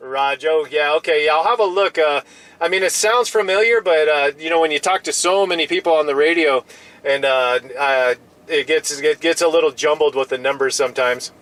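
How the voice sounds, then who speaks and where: A man's voice: fairly high pitch, brisk, a bit bright, rough recording. A friendly, chatty man talking casually in radio operator jargon from a parked pickup truck, often repeating himself.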